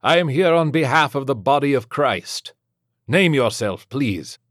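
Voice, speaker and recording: A man's voice: mid-range voice, lively intonation, measured pace, fairly bright tone, no room sound, studio quality, pitched above the performer's own voice. A man voicing a steadfast, commanding priest exorcist in an audiobook, solemn and declamatory. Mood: firm calm. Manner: solemn, declamatory prayer and rebuke.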